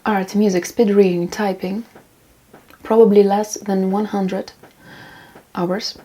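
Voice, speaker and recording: Husky, mellow woman, rambling casual talk. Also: mid-range voice, steady tone, takes it slowly. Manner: rambling, repeats phrases for emphasis. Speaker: self-improvement vlogger. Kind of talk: casual talk, giving tips and reading out comments.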